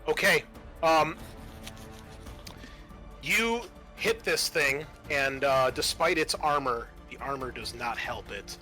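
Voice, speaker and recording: Male game master, forceful bright tone, halting improvised narration, music behind him. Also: mid-range voice. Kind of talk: narrating a tabletop roleplaying game.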